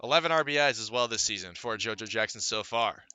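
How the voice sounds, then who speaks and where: An American man calling baseball live as a radio play-by-play announcer, reciting statistics between pitch calls. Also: expressive, fast talker, sharp tone, smooth, no room sound. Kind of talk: live sports commentary.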